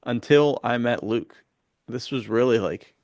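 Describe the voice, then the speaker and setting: Man with gravelly, creaky podcast voice. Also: mid-range voice, unhurried, darkish tone, no room sound, studio quality. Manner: bro-ish slangy way of talking. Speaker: podcast co-host. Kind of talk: casual podcast chat.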